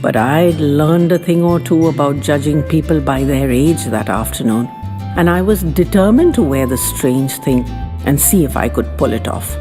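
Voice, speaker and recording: A woman's voice: deep voice, moderate pace, natural voice. A woman voicing a genteel seventy-year-old lady who recounts her day in dramatic, old-fashioned audiobook style. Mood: warm, content. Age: 70s. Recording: long reverb, clear music underneath.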